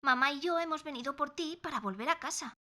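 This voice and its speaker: A woman's voice: high voice, fast, pushed and bright. A woman playing a young, puzzled and concerned daughter in an audio drama, emphatic and questioning, with an accent from Spain. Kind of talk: scripted audio drama dialogue.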